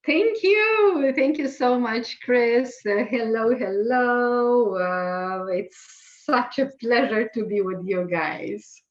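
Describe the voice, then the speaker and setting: A woman in her forties from Romania, with a Romanian accent, talking as a guest mortgage specialist on a video call, warm and full of "uh" fillers. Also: a little high, takes it slowly. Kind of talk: casual chat on a call. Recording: echoey, dull lo-fi call audio.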